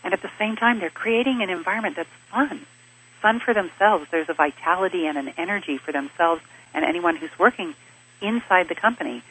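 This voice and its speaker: A woman with a bright, slightly husky voice speaks in a measured, explanatory manner as an interview guest. She grew up in Switzerland and lays out her ideas in steady lists of points. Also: fairly low pitch, rough recording. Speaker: interview guest, business advisor. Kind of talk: thoughtful conversational interview answers.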